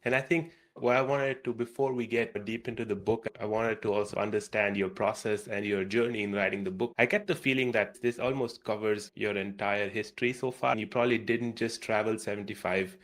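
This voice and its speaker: A man's voice: fairly low pitch, fairly even intonation, a little fast, hardly any pauses, a bit bright. A male podcast host asking thoughtful, probing questions over a video call. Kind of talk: interview questions.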